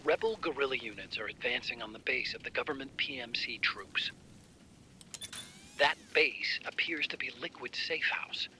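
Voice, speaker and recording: A man's voice: medium pitch, lively intonation, moderate pace, pushed and bright, slight background noise, rough recording. A man voicing an earnest support partner giving an informative mission briefing in a video game. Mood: serious, focused.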